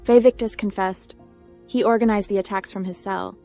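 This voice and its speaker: A tough young woman captain voiced by a woman speaks at a middling pitch. She is sardonic and clipped, a video game protagonist heard over quiet music. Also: fairly even intonation, normal speed, vocal fry, close to an everyday voice. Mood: matter-of-fact.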